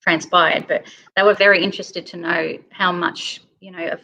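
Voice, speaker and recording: Woman guest on a chat show, slightly low voice, just explaining stuff in a casual way. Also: steady tone, normal speed, pushed and bright, a little hiss or hum, poor audio. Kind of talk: casual discussion.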